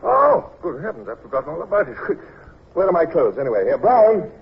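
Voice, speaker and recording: An actor playing an imperious, irascible naval commander with a dry humour, in brisk old radio drama style. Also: male voice, a little high, huge pitch swings, slightly rough, exaggerated voice. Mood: blustering, exasperated. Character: imperious, irascible British naval commander at sea, dryly humorous. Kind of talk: 1950s radio drama acting, first-person narration and dialogue. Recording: dull, hissy old radio broadcast recording.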